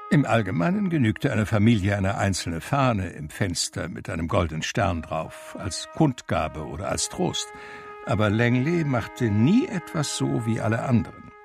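An actor playing a reflective man who tells his life story in measured literary radio drama narration. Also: on the low side, animated, vocal fry. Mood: sober, reflective. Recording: music under the voice. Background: music.

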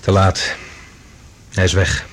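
A man's voice: sits low, steady tone, slow pace, very noisy. A male actor playing an agitated, earnest man bringing alarming news in a science fiction radio play. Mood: grave, tense. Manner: urgent, earnest, dramatic.

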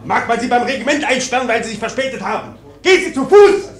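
A high-voiced, irascible army lieutenant. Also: male voice, expressive, fast. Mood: irritated, commanding. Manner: curt, commanding, exasperated. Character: irascible, bullying army lieutenant barking at subordinates. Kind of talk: radio play acting.

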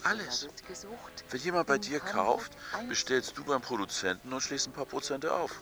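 Man as a pushy friend, animated pitch. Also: normal speed, close to an everyday voice. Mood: breezy persuading. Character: pushy, practical male friend urging schemes. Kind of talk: German radio drama acting.

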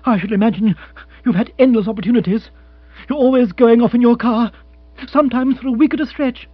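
A man's voice: very high voice, brisk, no room sound, pitched above the performer's own voice. A man voicing a spirited, outspoken young Englishwoman in a comic audiobook, scolding emphatically with indignation. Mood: cold, indignant. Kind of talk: comic audiobook character acting. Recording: muffled recording with hum.

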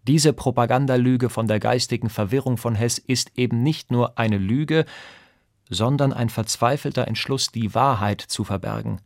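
A man's voice: medium pitch, moderate pace, gravelly, dry recording, studio quality. A man giving a formal, declarative scripted reading of a news commentary. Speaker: reader of historical radio texts.

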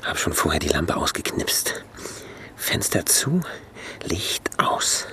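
Secretive reporter, deep, lively, terse dictation, radio play. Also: male voice, slow pace, full character voice. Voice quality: low, often whispered. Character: nosy small-town male reporter, secretive. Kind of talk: radio drama acting. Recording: radio play with loud music.

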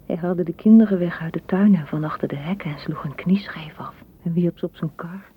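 Actress playing a dreamy gentle garden lady, soft poetic delivery, muffled old radio play. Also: fairly low pitch, fairly quick, slight background noise, lightly acted. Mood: hushed, fearful. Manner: soft, often whispered, poetic. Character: dreamy, tender woman gardener who talks to nature. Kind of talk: radio drama acting.

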